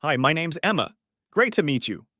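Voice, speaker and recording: A man teaching beginner English, reading short model sentences clearly and saying each one twice. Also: a little high, sing-song, bright, forceful tone, no room sound, studio quality. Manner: says each phrase twice, clearly and deliberately.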